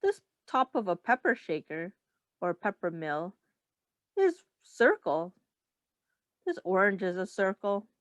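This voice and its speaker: A woman teacher reading a picture book aloud to young children, explaining in simple words, asking questions and pausing for answers. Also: medium pitch, sing-song, no room sound. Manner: addresses children directly, pausing for their answers.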